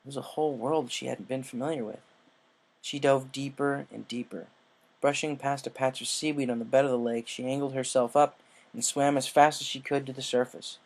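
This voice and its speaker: A man's voice: mid-range voice, steady tone, fairly quick, gravelly. A man narrating a written story, reading from the text as a storyteller.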